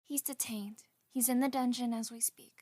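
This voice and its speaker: An actress playing a devoted, anxious young royal attendant in a fantasy audio drama, earnest and deferential. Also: female voice, a little high, fairly even intonation, normal speed, darkish tone, close to an everyday voice. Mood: concerned.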